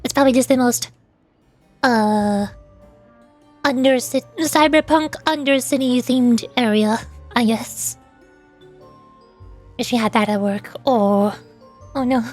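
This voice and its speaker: High-voiced woman chatting casually on stream. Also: slow, pauses often, soft background music. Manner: chatty, explanatory, playful asides. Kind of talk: casual rambling live-stream commentary.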